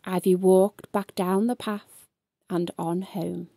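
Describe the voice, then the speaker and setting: A woman reads a rhyming children's picture book at a slow, measured pace. Her voice is soft-toned and mellow, recorded close and dry, suited to bedtime. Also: fairly low pitch, flat delivery, creaky, husky, no room sound. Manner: measured bedtime-story delivery in verse. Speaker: storybook narrator.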